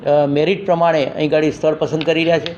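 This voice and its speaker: A man's voice: fairly high pitch, little pitch movement, fast talker, darkish tone. A man speaking as an education official, giving an informational statement in repetitive, official phrasing. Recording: dull, lo-fi with faint background noise.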